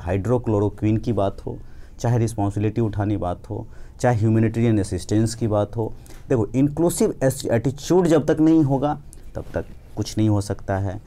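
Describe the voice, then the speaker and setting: A man's voice: lowish voice, warm tone. An Indian man giving an informal explanatory lecture as an exam coaching teacher, repeating phrases for emphasis.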